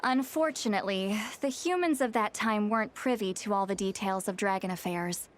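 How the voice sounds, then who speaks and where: An actress playing a calm, scholarly young woman in a video game, explaining in a measured, articulate way. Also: medium pitch, slight background noise, lightly acted. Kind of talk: video game dialogue, expository.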